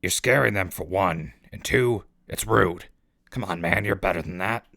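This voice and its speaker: A man's voice: low voice, normal speed, creaky, bright, forceful tone, exaggerated voice. A man playing a wry, cheeky wasteland radio host with folksy, mock-gracious announcer patter. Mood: exasperated. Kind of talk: scripted in-character radio broadcast.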